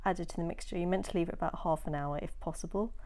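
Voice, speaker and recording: Woman, nasal with a cold, mellow voice, chatty baking voice-over, soft whispered asides. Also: fairly low pitch, fast talker. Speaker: home baker.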